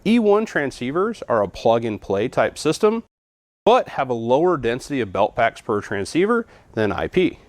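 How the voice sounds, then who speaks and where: A man's voice: mid-range voice, very animated, normal speed, no echo. Man narrating a product walkthrough, dry studio sound. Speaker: product trainer.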